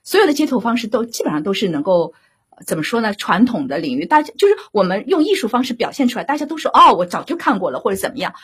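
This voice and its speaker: A woman's voice: medium pitch, very animated, quick pace, breathy edge. A woman guest, a radio drama director, explaining her work conversationally and often checking in with rhetorical questions. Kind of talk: conversational panel discussion.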